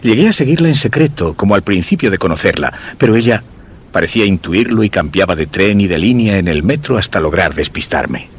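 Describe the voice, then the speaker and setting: An actor with a Castilian Spanish accent playing a curious, insistent husband who recounts a mysterious romance in measured, literary radio-play style. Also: male voice, deep voice, animated, husky. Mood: measured, reflective.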